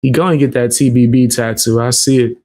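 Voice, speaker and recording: A man's voice: medium pitch, steady tone, brisk, a little mellow. A man giving casual reaction commentary in a slangy, ribbing, incredulous way.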